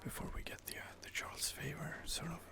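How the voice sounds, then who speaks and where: A man softly whispering relaxed gameplay commentary, hesitant and full of fillers. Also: a little fast. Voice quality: soft whisper. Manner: hesitant, many fillers and restarts. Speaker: gameplay host.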